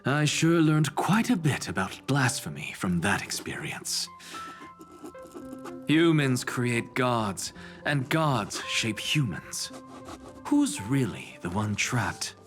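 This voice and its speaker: A man's voice: mid-range voice, big pitch swings, slow pace, fairly bright tone, faint music behind. A man voicing a proud, eccentric scholar in an anime-style video game, erudite, self-assured and theatrical. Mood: irreverent, impassioned. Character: eccentric, proud male scholar, irreverent philosophical professor.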